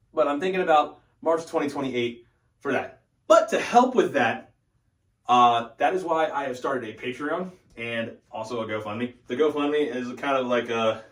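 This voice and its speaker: Young man in an echoey room. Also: mid-range voice. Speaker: host of a hockey fan channel. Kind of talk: casual update vlog. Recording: echoey room, distant from the mic.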